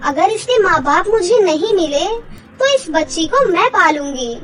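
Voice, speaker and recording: A woman's voice: very high-pitched, animated, a little slow, fairly bright tone, faint music behind, full character voice. A woman voicing a kind, protective fairy mother in a children's cartoon story. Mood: tender, resolute.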